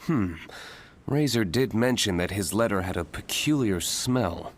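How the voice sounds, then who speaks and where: An actor playing a cautious, pensive young man in a video game, deliberate and reserved. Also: on the low side, animated, slight background noise. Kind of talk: scripted video game voice acting.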